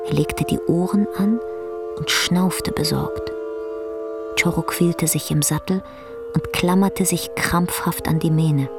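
Slow-paced woman narrator over guitar music. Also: fairly low pitch, steady tone. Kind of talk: scripted literary narration for radio drama. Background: plucked guitar music.

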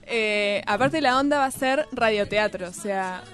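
A woman's voice: mid-range voice, a little slow, a bit bright. A woman with a Rioplatense Argentine accent, chatting casually as a radio columnist, playful and fond of exaggeration.

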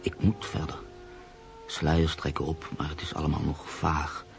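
A man acting in a radio drama as a brooding, sceptical storyteller, husky and gravelly, with a measured, literary, pensive delivery. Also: very deep voice, monotone, faint music behind, heavily acted. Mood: pensive, hushed. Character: brooding, sceptical man narrating his own uneasy story. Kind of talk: radio drama narration and dialogue.

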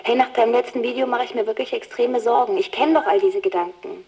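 A woman's voice: on the high side, fast, lo-fi sound, close to an everyday voice. An actress playing an anxious, devoted young woman, earnest and pleading, in a radio drama phone message. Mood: worried, pleading.

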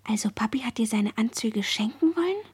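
A woman's voice: on the high side, animated, brisk, slightly rough, no echo. A woman playing a curious, probing girl in a radio play, inquisitive in manner. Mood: probing, puzzled. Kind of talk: German radio play acting.

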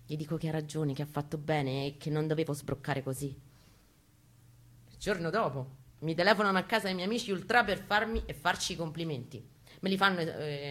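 A woman's voice: deep voice, a bit bright. A woman gives an emphatic, theatrical reading of a first-person story. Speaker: reader at a live spoken-word reading.

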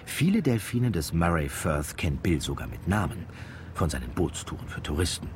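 An actor playing a wry, amused storyteller, narrating a scripted radio drama. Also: male voice, deep voice, big pitch swings, moderate pace, background noise. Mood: storytelling. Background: loud music.

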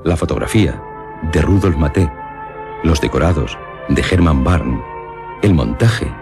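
A man reading scripted radio narration in a solemn, measured storytelling manner. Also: a bass voice, animated. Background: music behind the voice.

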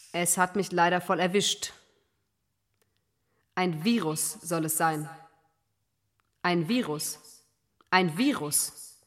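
An actress playing a sick, stoic amateur runner in an experimental radio play, reading diary entries in deliberate, repeated fragments. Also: female voice, on the low side.

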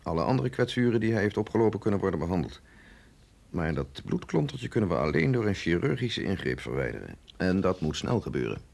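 Gezaghebbende dokter met krakende stem. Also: male voice, sits low, very animated, gravelly, a little mellow, heavily acted. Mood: grave. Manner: measured, explanatory, authoritative. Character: authoritative male doctor at a secret research institute, patient and grave. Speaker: doctor. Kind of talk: radio drama acting.

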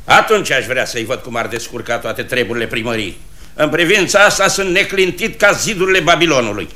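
Radio comedy mayor: middle-aged, self-important, blustering, forceful bright tone. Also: male voice, mid-range voice, animated. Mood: stubborn, boastful. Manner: blustering, declamatory. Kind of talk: radio comedy stage acting.

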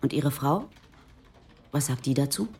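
A woman's voice: a bass voice, expressive, measured pace, warm tone, a little hiss or hum, full character voice. A woman acting as a police detective of about forty in a radio drama, direct, sceptical and dryly probing. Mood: stern, probing. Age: middle-aged.

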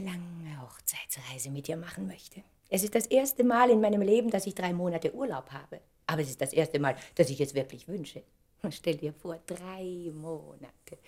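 A woman's voice: medium pitch, a little mellow. A woman acting an effusive, ambitious stage actress who is dreamily in love, in a theatrical German radio drama style. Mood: tender, dreamy. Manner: theatrical, dreamy, swinging from breathy murmurs to exclamations.

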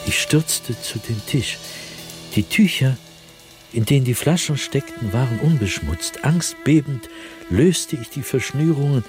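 Male actor playing tormented man descending into madness, radio drama monologue, very slow delivery, dramatic swings in pitch, brooding and halting, faint music behind. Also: lively intonation, natural voice. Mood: tense, feverish. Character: tormented man sliding into madness, recounting his nightmares in a diary.

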